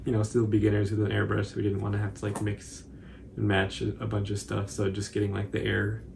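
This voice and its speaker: A man, casually explaining a craft project in loose, halting phrases. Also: fairly low pitch, monotone, gravelly, background noise. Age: 20s. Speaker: cosplay tutorial co-host. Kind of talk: casual unscripted tutorial commentary. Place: indoor living room, seated on couch.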